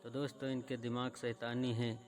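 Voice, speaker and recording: Male religious explainer host, medium pitch, narrow intonation, earnest direct address. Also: monotone, fairly quick, faint music behind. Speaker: presenter of religious videos.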